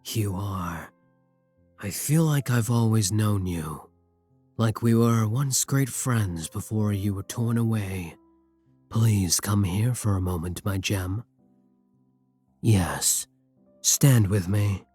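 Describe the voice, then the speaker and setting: A man playing a brooding, tender vampire king in intimate ASMR roleplay, husky and courtly, with formal endearments and hesitant pauses. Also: lowish voice, dry recording. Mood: moved, gentle. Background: soft music.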